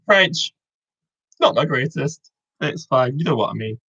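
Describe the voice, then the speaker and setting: Rambling young Scottish quiz host. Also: male voice, mid-range voice, lively intonation, a little slow, sharp tone, husky, lo-fi sound. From Scotland. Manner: rambling, self-correcting, jokey. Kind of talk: casual online quiz hosting. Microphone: video call.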